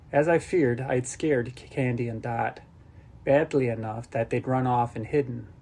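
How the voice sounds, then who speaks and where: A man narrates a novel in the first person. His voice is mid-pitched with a slightly husky texture, and the delivery is clearly scripted storytelling. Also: a little mellow. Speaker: audiobook narrator.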